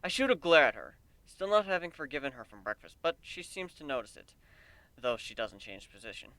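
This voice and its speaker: Animated, bright-voiced man narrating a story. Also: mid-range voice, a little fast, no room sound. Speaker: amateur story reader. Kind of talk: dramatic first-person story narration.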